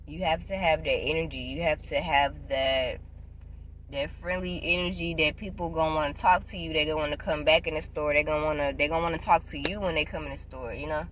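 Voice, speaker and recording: A woman in her twenties chatting casually to camera, giving job advice, loud and playful and repeating herself often. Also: deep voice, a little hiss or hum. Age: 20s. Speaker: vlogger.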